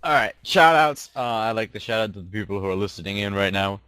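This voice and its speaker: Low voiced dude, sharp bright tone, dry close mic, slow and hesitant. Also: male voice, expressive, smooth, no echo. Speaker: podcast co-host. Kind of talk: casual chat.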